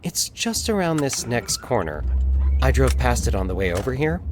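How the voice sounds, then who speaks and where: Man voicing breezy young woman, pitched way up. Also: sing-song, moderate pace, noisy background, close to an everyday voice. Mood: playful. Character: young woman, cheerful, teasing old flame.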